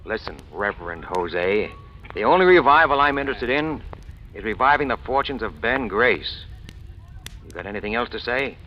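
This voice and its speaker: A man's voice: mid-range voice, sing-song, moderate pace, a little hiss or hum. An actor playing a hard-boiled, scheming small-time crook who narrates his own rise in clipped, wisecracking slang, in old radio noir style. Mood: brash, bossy. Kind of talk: 1940s-50s radio noir acting. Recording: dull old radio recording, music behind.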